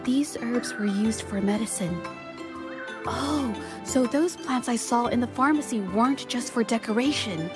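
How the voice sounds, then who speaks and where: A woman's voice: a little high, measured pace, music in the background, natural voice. A woman voicing a shy, earnest, self-doubting young woman painter in a video game, hesitant and apologetic. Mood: curious. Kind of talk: dramatic game voice acting.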